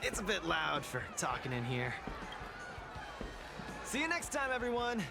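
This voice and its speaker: A gravelly, lively young champion fighter. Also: male voice, sits high, unhurried, heavily acted. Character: cocky friendly young male cage-fight champion. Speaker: cage fighter. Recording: game audio over loud music.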